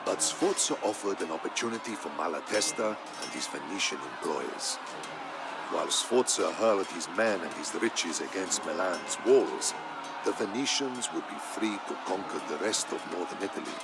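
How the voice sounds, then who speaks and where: A man narrating a historical tale in a scripted, dramatic style, solemn and measured. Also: sits low, lively intonation, vocal fry, rough recording. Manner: solemn, measured storytelling delivery. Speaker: narrator of a strategy game campaign story. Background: background music.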